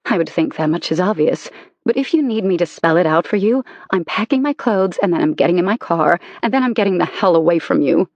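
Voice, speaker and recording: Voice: mid-range voice, fairly quick, husky, no echo, dull audio. An audiobook narrator voicing a betrayed wife who is walking out on her husband, speaking in a cold, cutting, emphatic way. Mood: angry. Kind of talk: audiobook character reading.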